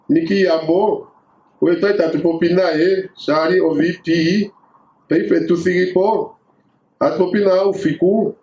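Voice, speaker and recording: A man giving a measured formal address at a gathering. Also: on the high side, mellow. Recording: echoey, dull lo-fi sound.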